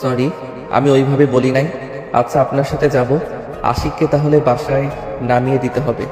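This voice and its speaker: Man playing a flat-voiced, serious young student in reverb. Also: medium pitch, normal speed, close to an everyday voice. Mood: polite, calm. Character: calm, principled, devout young male college student. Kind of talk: Bengali audio story acting. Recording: echoey long reverb, music bed.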